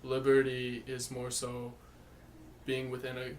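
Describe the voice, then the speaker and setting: Very monotone young man, distant mic. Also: mid-range voice, unhurried. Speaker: interviewee. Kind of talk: casual interview answer. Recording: distant mic, faint room noise.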